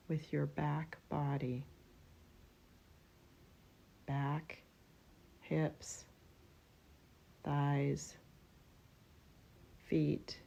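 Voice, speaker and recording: Slow, low-voiced woman guiding meditation. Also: vocal fry. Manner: slow, gentle, unhurried instruction with spaced-out phrases. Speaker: yoga nidra meditation guide. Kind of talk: guided relaxation meditation.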